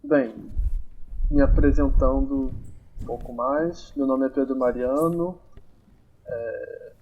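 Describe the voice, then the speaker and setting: A young man hosting a podcast in a relaxed, casual way, thinking aloud with many fillers, recorded on amateur home gear. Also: medium pitch, takes it slowly, phone-quality sound, slight background noise. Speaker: podcast host. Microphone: amateur home recording, phone or computer.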